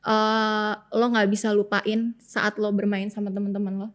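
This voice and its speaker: A woman's voice: mid-range voice, level tone, normal speed. A young adult woman with a Jakarta Indonesian accent in casual, slangy conversation as a podcast host. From Jakarta area, Indonesia. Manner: informal, slangy. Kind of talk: casual nostalgic chat.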